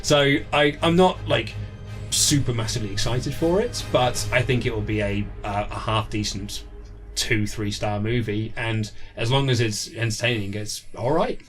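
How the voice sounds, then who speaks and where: A man talks casually as a guest in an interview. His voice sits at a medium pitch and he is chatty, often acting out little quotes. Faint music plays behind him. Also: normal speed. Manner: chatty, acts out imagined quotes. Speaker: interview guest, writer and presenter. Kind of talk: casual conversation about films and games.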